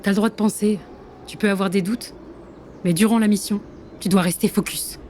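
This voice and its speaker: An actress playing a blunt but caring intelligence agent in an audio drama, frank and slangy. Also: female voice, mid-range voice, fairly even intonation, normal speed, dark tone, slight background noise, lightly acted. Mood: frank concern.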